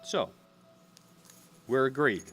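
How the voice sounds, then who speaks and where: A man's voice: medium pitch, expressive, slow pace, fairly bright tone, soft background music. A man playing a shrewd, eager trader in secrets in an audio drama, bargaining theatrically. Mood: eager, sly.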